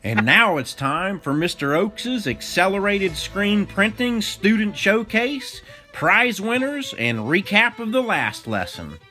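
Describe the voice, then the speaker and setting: Showy game-show announcer played by a man, high and swooping, very slow delivery over music. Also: big pitch swings, full character voice. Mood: showy, excited.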